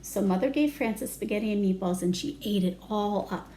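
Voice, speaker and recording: A woman's voice: mid-range voice, moderate pace, mellow, a little hiss or hum. A woman reading a picture book aloud to young children, with simple phrasing and asides to her listeners. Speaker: children's storytime reader.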